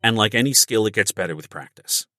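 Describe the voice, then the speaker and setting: A man with a low-pitched, very lively voice talks in a casual podcast style. He explains like an encouraging instructor, stressing his points with everyday analogies. The sound is bright and close, with a dry finish. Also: fast talker, dry recording. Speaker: guest voice actor and audiobook narrator.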